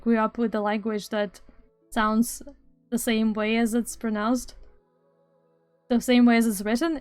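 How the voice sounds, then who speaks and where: Chatty streamer woman, smooth voice. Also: fairly high pitch, a little mellow, dry recording. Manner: chatty, rambling, dry sarcastic asides. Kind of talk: casual live-stream chat. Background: faint music.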